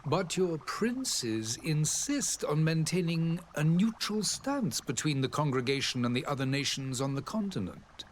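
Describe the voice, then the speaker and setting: An actor playing a dignified, courteous diplomat in a role-playing game, speaking formally and eloquently. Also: male voice, a little high, big pitch swings, measured pace, few pauses, a little hiss or hum. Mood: proud, explanatory. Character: dignified male diplomat of a foreign alliance, proud and courteous.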